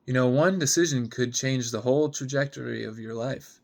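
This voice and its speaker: A man plays a soft-spoken man in his late twenties in a drama reading. He is mild-mannered and earnest, a gentle flirt with a smooth line. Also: mid-range voice, normal speed, natural voice. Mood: flirtatious, earnest.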